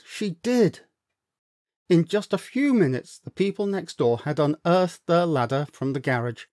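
Man, slow, animated children's storyteller. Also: on the high side, no room sound, studio quality. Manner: dramatic, deliberate, with emphatic pauses. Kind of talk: expressive scripted children's story narration.

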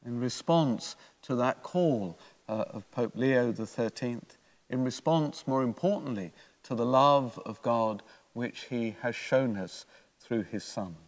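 Older priest in a reverberant church. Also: male voice, medium pitch, slow. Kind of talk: homily, read from prepared notes. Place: church, at a lectern. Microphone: gooseneck lectern microphone.

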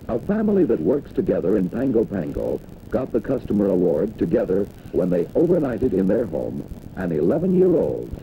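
Low, lively American man narrating, dated film sound. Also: vocal fry, muffled recording, slight background noise. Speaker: narrator of a corporate training film. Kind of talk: 1960s scripted industrial film narration.

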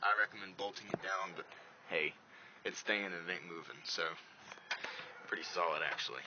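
A man's voice: low voice, normal speed. A plain-spoken man giving a casual hands-on walkthrough as an informal vlogger, recorded on a handheld camera around a truck. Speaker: vlogger demonstrating an install. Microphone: handheld phone or small camera. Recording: muffled, noisy handheld camera audio.

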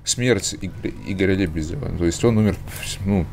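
A man's voice: low voice, moderate pace, a little mellow, a little hiss or hum. A man asking questions as an interviewer in a conversational style.

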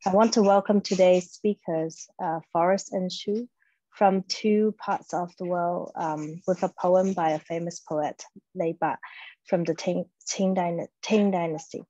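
A woman's voice: lowish voice, steady tone, warm tone, no echo, muffled recording. A woman, a Cantonese speaker, giving careful, hesitant welcome remarks on a video call. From Hong Kong. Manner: slow, careful, hesitant with fillers. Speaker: guest speaker. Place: small home room, plain wall.

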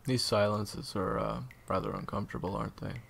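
Guy doing a weary sarcastic assassin for an audio roleplay, low voice, bit of background noise. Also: steady tone, fairly quick, creaky, fairly bright tone. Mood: wry, tense. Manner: hesitant, dry, sarcastic. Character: sardonic male assassin captor, weary and evasive.

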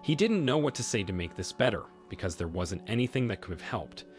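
A man reading reader-submitted stories aloud in a steady scripted narration, then giving his own reaction. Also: lowish voice, fairly quick. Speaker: story narrator. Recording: voice-over with soft music bed.

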